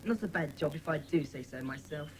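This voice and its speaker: A woman's voice: low-pitched, lively intonation, fairly quick, slight background noise. A woman playing a blunt, matter-of-fact young schoolboy in a dramatised children's audiobook. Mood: satisfied.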